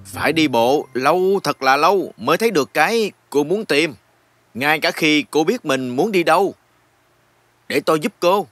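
A man playing a kind, patient human guide in dubbed fantasy film dialogue, explaining things gently. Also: on the high side, animated, normal speed, dry recording. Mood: questioning, patient.

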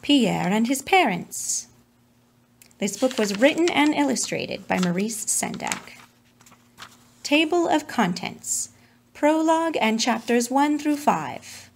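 A woman's voice: high-pitched, expressive, takes it slowly, creaky, a little hiss or hum. A woman reading a children's storybook aloud as its narrator. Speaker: storybook reader.

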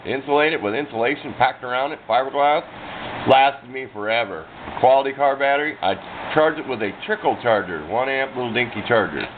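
A man's voice: mid-range voice, a little fast. Folksy American man, tinny noisy recording. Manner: plain-spoken, rambling, folksy and self-deprecating. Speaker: hobbyist radio demonstrator. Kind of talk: casual unscripted show-and-tell narration. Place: indoors at a workbench. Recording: tinny phone-like sound with clear background noise.

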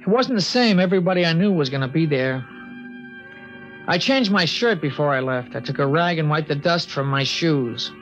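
A reflective teenage boy in a vintage radio play, his voice a touch high and plainly spoken. He narrates with a slight hesitancy, and the sound is dull and muffled like an old broadcast. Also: expressive, normal speed, a bit bright, soft background music. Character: earnest teenage boy narrating the last day. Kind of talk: 1950s radio drama acting and narration.

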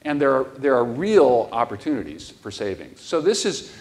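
A man's voice: medium pitch, moderate pace. Earnest man giving a keynote. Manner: earnest, explanatory, steady with frequent emphatic stresses. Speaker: keynote speaker. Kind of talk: conference keynote lecture. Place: large conference ballroom stage.

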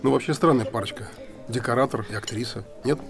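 An actor as a brisk, curt man in charge. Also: mid-range voice, gravelly, music in the background, lightly acted. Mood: businesslike. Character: brisk, businesslike, exacting theatre director. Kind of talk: screen drama acting.

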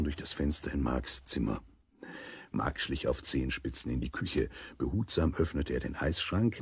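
A man narrating a scripted children's audio story in a husky voice. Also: rumbling low, darkish tone, no room sound.

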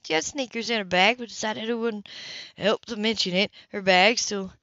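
A woman's voice: mid-range voice, moderate pace, bright, forceful tone, no room sound. Female amateur audiobook narrator, mid-range, dramatic reading, dry lo-fi sound. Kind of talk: scripted fiction narration.

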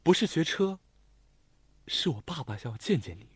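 A man's voice: a little high, sing-song, moderate pace, husky, no room sound, voice pushed up, exaggerated voice. A man voicing a lovesick, insistent young woman, the daughter of a rich man, in a pleading, raised voice for an audiobook. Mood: soft. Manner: pleading, raised, near shouting. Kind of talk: audiobook character voice.

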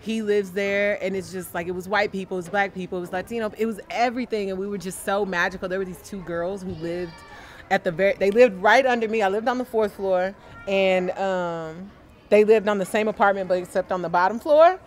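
A young woman from Dallas, chatty and animated, telling a personal story as an interviewee outdoors on a sunny lawn. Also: medium pitch, a little fast. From Dallas, Texas. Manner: chatty and animated, gesturing with her hands. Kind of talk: casual storytelling.

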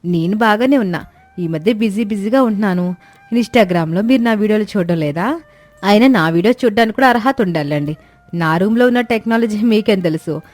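A woman's voice: mid-range voice, fairly quick, a little mellow, no echo, faint music behind, natural voice. A woman voicing a vain, demanding young daughter-in-law who speaks haughtily and drops in English words. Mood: haughty. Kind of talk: animated moral-story voice acting.

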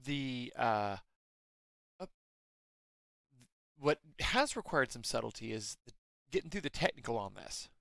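Wry, animated man co-hosting casually. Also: medium pitch, a little slow, creaky, long pauses, no room sound. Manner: wry, joking. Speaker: co-host. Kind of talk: casual banter.

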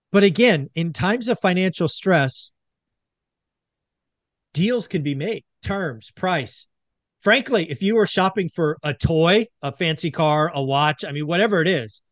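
A man's voice: a little high, normal speed, no echo. Middle-aged man, rallying investment host. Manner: earnest, rallying, addresses viewers as folks. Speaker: podcast host. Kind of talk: casual investing talk. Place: home studio with shelves, indoors.

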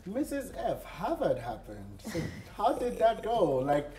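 A man's voice: sits high, wildly swooping pitch, a little slow, dark tone. A young man, chatting as the host of a TV interview show. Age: young adult. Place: large open-plan office studio, indoors.

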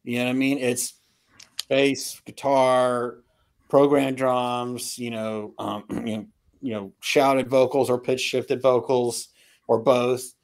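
A middle-aged man in a casual music discussion on a video call, halting and opinionated, often clearing his throat. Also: mid-range voice, flat delivery. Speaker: album review panelist. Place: home room with records, indoors.

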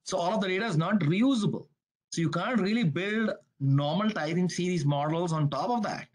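Brief: man, webinar presenter on a video call, brisk and casual, voice sits a bit high. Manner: brisk, conversational, frequent "you gotta". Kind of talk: business webinar talk.

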